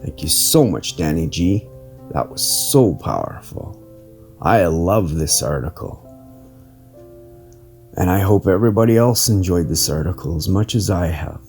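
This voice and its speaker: A man reading an inspirational article as a podcast host, in a measured, earnest manner with deliberate phrasing. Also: low voice, lively intonation. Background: soft plucked guitar music.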